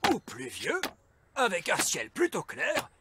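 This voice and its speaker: An actor playing a pompous, sceptical old male elf sage in a French cartoon, with a theatrical, drawn-out delivery. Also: high-pitched, wildly swooping pitch, heavy background noise, heavily acted. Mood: pedantic, thoughtful. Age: older. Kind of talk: French animated series dub acting.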